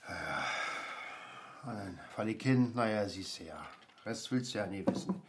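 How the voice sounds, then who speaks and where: An actor playing a frail, flustered old man in a naturalistic radio drama, halting and muttering. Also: fairly low pitch, animated. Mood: agitated, resigned. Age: older.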